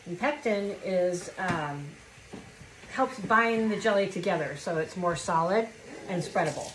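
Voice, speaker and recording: A woman's voice: fairly low pitch, a little slow, fairly bright tone. A woman filming on her phone in a home kitchen, patiently explaining cooking steps to a child. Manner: patient, coaching and explaining step by step. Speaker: family member filming and guiding a child cook. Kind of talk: casual instructional home cooking commentary. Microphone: phone camera held by the speaker off screen. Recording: echoey kitchen with clear background noise.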